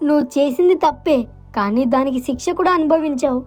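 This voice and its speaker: Woman as a high-voiced, anxious village mother. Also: quick pace, a little mellow, faint music behind, lightly acted. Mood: consoling. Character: poor village mother, caring, anxious, gently scolding. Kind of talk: animated moral story dialogue.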